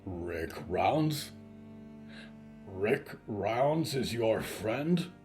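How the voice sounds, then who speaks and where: A man's voice: lowish voice, lively intonation, extremely slow, a little mellow, faint music behind. A man voicing a big, gloomy, childlike creature who speaks in plain, naive sentences in an audio fiction story. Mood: upset, indignant. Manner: plain short sentences, subdued, glum, naive.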